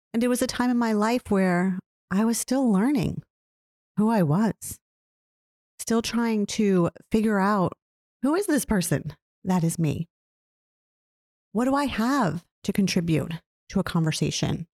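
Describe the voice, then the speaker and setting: A woman talking earnestly and reflectively about her own experiences, often putting questions to the listener. She describes her own voice as low. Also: expressive, unhurried, long pauses, darkish tone, no echo, studio quality. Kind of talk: reflective personal talk.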